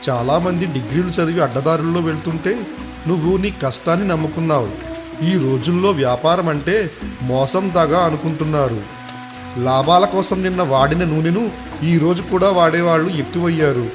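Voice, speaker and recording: A man's voice: on the high side, a little fast, music in the background, lightly acted. Man playing a kindly elderly village headmaster. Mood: approving, benevolent. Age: older. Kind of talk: acted dialogue in a moral story.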